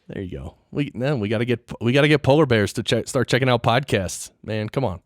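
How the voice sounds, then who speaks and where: A man's voice: mid-range voice, animated, moderate pace, no echo, clean studio sound. A man hosting a podcast in casual interview chat, playful and slangy, given to exclamations. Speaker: podcast host.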